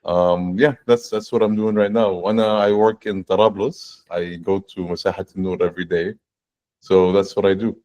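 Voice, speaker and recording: A man in his forties, a guest on a video-call fireside chat, explaining his work in a relaxed way with frequent restarts and "right?". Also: low voice, moderate pace. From born in the United States, raised in Saudi Arabia and Canada. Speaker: guest, fractional CTO.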